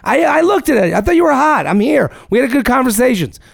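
A man's voice: extremely high pitch, very animated, quick pace, creaky, over a noisy crowd. A man co-hosting a podcast, chatting casually, reading listener emails aloud and swearing freely. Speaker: podcast co-host. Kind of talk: casual chat.

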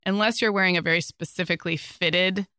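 A middle-aged woman co-hosting a podcast, slightly hoarse from a cold, speaking in a measured, thoughtful way. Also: fairly low pitch, fairly even intonation, sharp tone, no room sound, clean studio sound. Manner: thoughtful, measured, asks questions. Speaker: podcast co-host. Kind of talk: casual chat.

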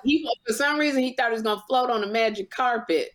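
A woman chatting casually in a group, telling long drawn-out stories. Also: a little high, crowd noise. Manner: storytelling, drawn-out phrasing.

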